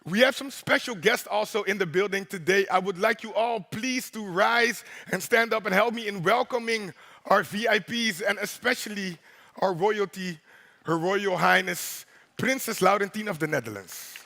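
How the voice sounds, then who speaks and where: A deep-voiced male host on a big stage, his gravelly voice bright and forward as it rings through a large hall with a long echo. He speaks in a playful, rhythmic way, teasing the crowd and checking in with them. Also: normal speed. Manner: playful, rhythmic, crowd-engaging with repeated "right?". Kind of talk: opening speech at a congress.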